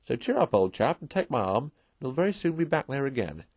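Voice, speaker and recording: A loyal storybook rat, played by a man, kindly and decisive, with a mid-pitched voice. He talks like an old-fashioned chum, reassuring and sure of himself, in a dry recording. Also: big pitch swings, brisk, a little mellow, dry recording. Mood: hearty, decisive.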